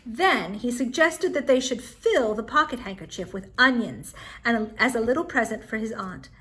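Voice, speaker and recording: Woman, storyteller, slightly high voice, lively swooping intonation, dramatic pauses, children's read-aloud. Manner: expressive, dramatic pauses and emphasis.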